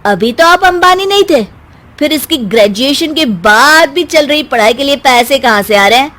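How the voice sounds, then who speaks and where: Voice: sits high, expressive, normal speed, pushed and bright. A performer voicing a defiant young daughter in a family melodrama, arguing with sarcasm. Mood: indignant. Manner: sarcastic, argumentative. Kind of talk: melodramatic Hindi family story dub.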